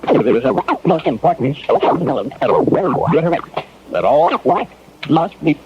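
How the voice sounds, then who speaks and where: Emphatic doctor, animated, highish voice. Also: male voice, slow, exaggerated voice. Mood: grave, insistent. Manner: formal, emphatic, pedantic. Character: emphatic male doctor issuing a grave health warning. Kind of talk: 1970s radio drama acting, formal official statement. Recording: dull, faintly noisy old radio tape.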